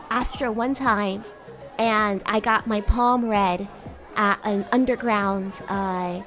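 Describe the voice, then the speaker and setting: A woman roleplaying a playful, bratty demon classmate on a livestream, chatting in a halting, improvised way. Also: mid-range voice, bright, forceful tone. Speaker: streamer and VTuber host.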